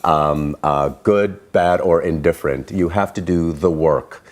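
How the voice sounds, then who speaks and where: Very deep-voiced male actor. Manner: measured, thoughtful explaining. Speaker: stage actor guest. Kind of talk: casual interview chat.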